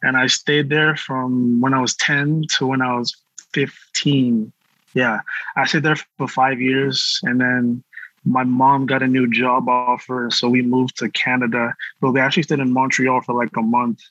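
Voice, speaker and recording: A young man from Nigeria tells his story in a relaxed, easygoing way during a casual chat. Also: medium pitch, unhurried, no echo, dull audio. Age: young adult. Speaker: youth artist guest.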